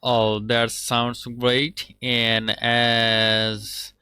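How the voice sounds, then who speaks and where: Guy from bangladesh, bright clear voice, slow polite chat, repeats himself a lot. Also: medium pitch, monotone, no echo, studio quality. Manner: slow, repetitive, polite phrasing. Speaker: conversation host. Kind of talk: casual online conversation practice.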